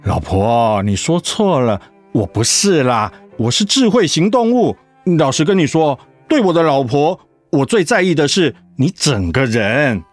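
An actor playing a devoted, teasing middle-aged husband in a radio drama, with a Taiwanese accent and a coaxing way of talking that easily gets flustered. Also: male voice, on the high side, very animated, measured pace, faint music behind. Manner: coaxing, stammering when cornered.

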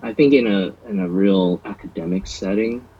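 A man's voice: on the low side, normal speed, husky, highs cut off. An American man chatting as a podcast guest, thinking aloud in a hesitant, thoughtful way with plenty of fillers. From United States. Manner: thoughtful, hesitant, lots of fillers and agreeing murmurs. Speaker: guest, language learner. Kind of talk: casual conversational chat.

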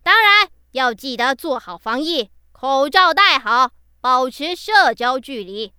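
A woman's voice: high voice, animated, measured pace, sharp tone, clear, resonant tone, no echo, studio quality, exaggerated voice. A woman playing a nagging, stern but caring schoolteacher in a children's audio drama. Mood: instructive.

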